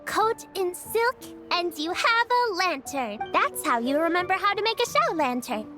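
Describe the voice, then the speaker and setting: Cheerful little girl game character, super high voice, kinda singsong and caring, music behind. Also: big pitch swings, normal speed. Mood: patient, singsong. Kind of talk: video game character dialogue.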